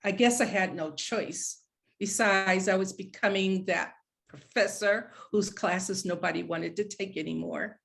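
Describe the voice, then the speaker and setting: Retired mother, mid-sixties, played by a woman: naturalistic, middle-pitched, fond but guilt-tripping, table-read drama. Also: unhurried, vocal fry, a bit bright. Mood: hurt, reproachful. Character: retired professor mother, sixty-five, guilt-tripping, warm but wounded. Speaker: actor in a script read. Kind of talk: live screenplay table read.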